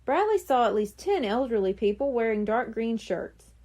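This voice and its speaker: A woman narrating a children's storybook in an animated storytelling manner. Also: on the high side, normal speed. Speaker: audiobook narrator.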